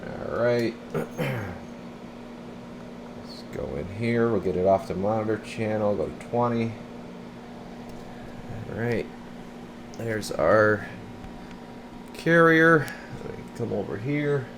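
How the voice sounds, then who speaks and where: Male technician, deep voice, informal rambling demo style, noisy workshop sound. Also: slow pace, pauses often. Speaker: radio repair technician presenting his bench work. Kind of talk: casual hands-on demonstration vlog. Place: indoor radio repair workbench.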